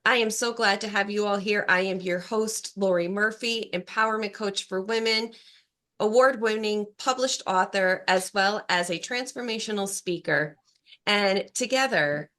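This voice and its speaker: A woman hosting a podcast as an empowerment coach, upbeat and encouraging, her voice slightly hoarse from a cold. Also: mid-range voice, a little slow, fairly bright tone. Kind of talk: scripted intro then interview chat.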